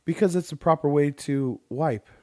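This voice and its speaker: A man's voice: on the high side, normal speed, dark tone, no echo, clean studio sound. A man co-hosting a casual podcast from home, blunt and teasing as he reads out poll results. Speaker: podcast co-host. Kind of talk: casual chat.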